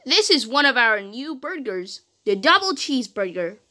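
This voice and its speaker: A woman's voice: on the high side, very animated, normal speed, bright, forceful tone. A woman voicing a cheerful animatronic cat who announces shows like a host, in a horror game. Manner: announcer-like, addressing an audience. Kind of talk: showman-like character voicelines.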